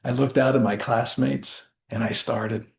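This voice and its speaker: A man giving a rehearsed motivational speech as a storyteller, speaking deliberately in short phrases with dramatic pauses. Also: mid-range voice, fairly even intonation, gravelly, a little hiss or hum.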